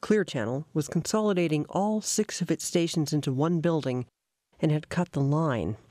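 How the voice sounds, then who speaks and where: A woman's voice: deep voice, moderate pace, warm tone, no room sound. Woman narrating a documentary, very dry sound.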